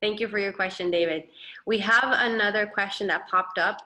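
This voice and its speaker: Lady hosting a webinar q&a, bright tense voice, reads out questions. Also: mid-range voice, moderate pace, a little hiss or hum, low-quality recording. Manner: reads out audience questions, friendly thanks. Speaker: webinar moderator. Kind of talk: moderating a live Q&A.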